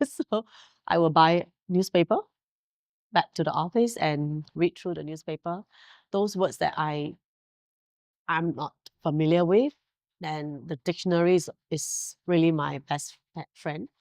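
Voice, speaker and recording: A woman's voice: low voice, measured pace, a little mellow, dry recording, studio quality. A Singaporean woman in her forties whose first language is Mandarin, telling her career story in a casual interview with frequent laughs and fillers. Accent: Singaporean English. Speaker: guest, hotel director.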